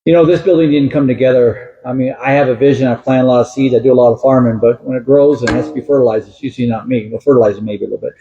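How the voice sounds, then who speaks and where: A man's voice: mid-range voice, steady tone, racing, few pauses, mellow, muffled recording. A man speaking as sheriff at a building dedication, folksy and thankful. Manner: folksy, self-deprecating, thankful. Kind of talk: public speech at a ceremony.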